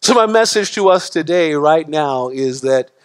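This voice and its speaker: A middle-aged man preaches in a church sanctuary, his voice sitting high for a man. He builds passion through repetition and gestures broadly at the pulpit, rising loud and falling soft in turn. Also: animated, moderate pace, clear, resonant tone. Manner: impassioned preaching with repetition and wide swings in pitch and loudness. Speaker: pastor. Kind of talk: sermon.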